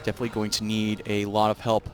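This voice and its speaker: A man's voice: on the low side, completely flat delivery, fast, over music. A man from the United States calling a baseball game live, reading out the lineups carefully and apologizing for his pronunciation of the names. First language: English. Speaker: play-by-play commentator. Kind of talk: live sports commentary. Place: outdoor ballpark broadcast.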